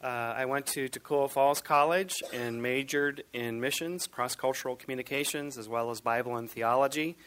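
American man, ministry staff, mid-pitched, calm matter-of-fact self-introduction. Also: moderate pace, hardly any pauses, slight background noise. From United States. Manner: steady, matter-of-fact with light fillers.

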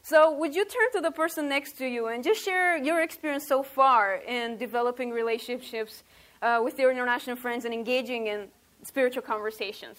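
A woman in her thirties leads a group workshop. Her voice sits high, and she talks in an outgoing, chatty way with frequent ums. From Romania. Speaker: workshop leader. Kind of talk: leading a church workshop. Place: workshop room, indoors.

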